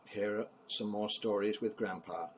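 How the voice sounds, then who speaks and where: An older man, a grandfather, reading children's poems aloud in an expressive, theatrical bedtime style. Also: lowish voice, normal speed, slight background noise, low-quality recording.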